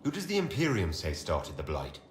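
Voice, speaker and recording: An actor playing a level-headed leader in a video game, speaking in a measured, direct, questioning way. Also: male voice, deep voice, wildly swooping pitch. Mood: steady. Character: level-headed, probing male leader of a fantasy order. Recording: roomy echo, faint ambient noise.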